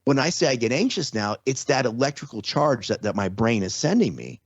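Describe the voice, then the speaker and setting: A man's voice: medium pitch, big pitch swings, brisk, husky, no echo. Man explaining casually, slightly dull close sound. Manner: rhetorical check-ins, repeats and restarts phrases. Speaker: podcast guest, trauma survivor and former security contractor.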